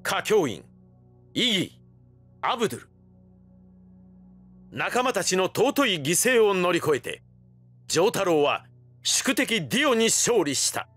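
A man's voice: sits high, sing-song, slow, long pauses, a bit bright, slightly rough, faint music behind, heavily acted. A man narrating a video game story in a dramatic, declamatory scripted style.

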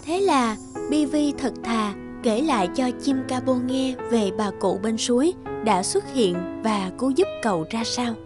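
A woman's voice: a little high, a little slow, hardly any pauses, over music, lightly acted. A woman reading scripted fairy-tale narration for a cartoon.

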